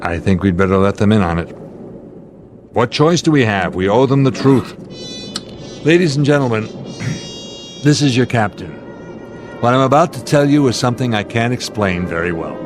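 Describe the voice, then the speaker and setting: An actor playing a steady, authoritative airline captain under strain in a radio drama, speaking deliberately. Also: male voice, medium pitch, over music, close to an everyday voice. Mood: grave, solemn.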